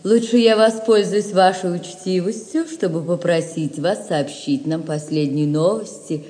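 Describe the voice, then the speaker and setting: A woman's voice: fairly low pitch, measured pace, dark tone, big-room sound, lightly acted. An actress playing a dignified, cool young noblewoman in a Russian audio drama, formal and composed. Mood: cool courtesy.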